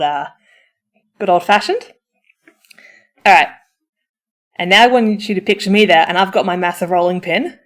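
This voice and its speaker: A woman speaking as a supportive friend in a comforting roleplay, chatty and informal, with a theatrical, encouraging manner. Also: fairly low pitch. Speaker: audio roleplay performer playing a supportive friend. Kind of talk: comforting roleplay, chatty pep talk to the listener.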